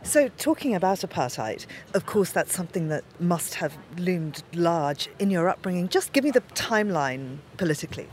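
A woman's voice: lowish voice, big pitch swings, moderate pace, hardly any pauses, darkish tone, a little hiss or hum. A woman from Zimbabwe who hosts the interview, introducing her guest and asking direct questions in a composed manner. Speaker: interviewer host. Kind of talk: radio interview.

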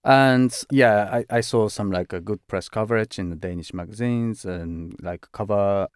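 A man with a low, smooth voice speaks as an interviewed fashion director. He talks in a halting way, leaning on "like" and "uh" and restarting words. The sound is dry and close, with no room echo. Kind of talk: conversational interview.

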